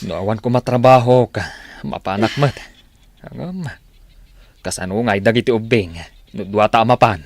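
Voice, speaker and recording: A man's voice: lowish voice, normal speed, long pauses, no room sound. A man playing a plain-spoken rural husband and father in a radio drama, with an Ilocano Filipino accent. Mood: concerned.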